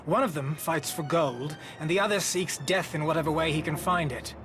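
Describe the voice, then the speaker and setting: An actor playing a roguish, wry, gold-hungry mercenary in a fantasy game. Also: male voice, on the high side, moderate pace, lightly acted. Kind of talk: video game cutscene acting.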